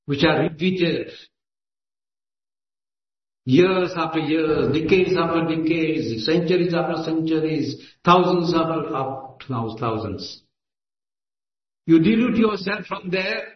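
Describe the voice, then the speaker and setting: A man's voice: on the high side, slow, long pauses. Emphatic Indian man giving a speech. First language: Gujarati. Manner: emphatic, repetitive, declamatory. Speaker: speaker at a meeting. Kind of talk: impassioned speech. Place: assembly hall. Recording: echoey lo-fi hall recording.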